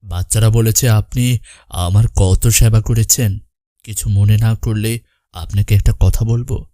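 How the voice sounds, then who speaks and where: A man's voice: fairly low pitch, fairly even intonation, unhurried, dark tone, no room sound, clean studio sound, natural voice. A man voicing a kindly, grateful and pious widower in cartoon dialogue. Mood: grateful, sincere.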